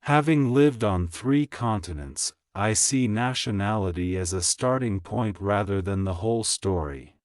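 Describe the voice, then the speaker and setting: Low-voiced man reading sentences slowly. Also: clean tone, no room sound, studio quality. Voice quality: plain, even reading of each sentence, repeated. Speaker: English teacher reading practice sentences. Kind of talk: slow, clear scripted reading for shadowing practice.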